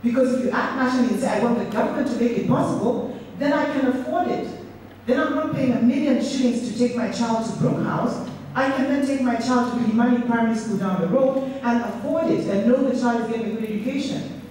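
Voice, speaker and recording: A woman's voice: a little high, fast talker, darkish tone. A middle-aged woman from Kenya giving a public speech, earnest and reflective, often restarting her words. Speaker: speaker. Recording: echoey hall, speaker far from mic, faint noise.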